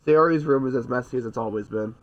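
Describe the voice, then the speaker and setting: A man's voice: mid-range voice, quick pace, dull audio. A young man with a stuffy nose and scratchy throat, reading a visual novel aloud on stream with casual asides. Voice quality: nasal. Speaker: game streamer.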